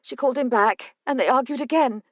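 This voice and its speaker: Brief: woman doing a scared, weepy older mother who can't stop talking, pitched up, dry booth sound. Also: dry recording. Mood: distraught. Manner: tremulous, rushed outpouring. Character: older mother, frightened and tearful.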